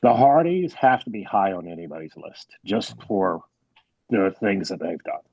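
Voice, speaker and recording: A man's voice: sits low, expressive, normal speed, gravelly, breathy edge, no room sound. A man guest on a wrestling podcast, chatting candidly and often repeating words as he starts a thought. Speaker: guest, wrestling producer and manager. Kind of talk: casual podcast chat. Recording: dull, dry sound.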